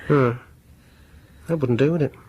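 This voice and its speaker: A man's voice: medium pitch, measured pace, slightly rough, highs cut off. An actor playing a fussy, solicitous host of music gatherings in a radio horror drama, a British man with a hesitant, polite, precise manner. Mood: hesitant, coaxing. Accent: British English.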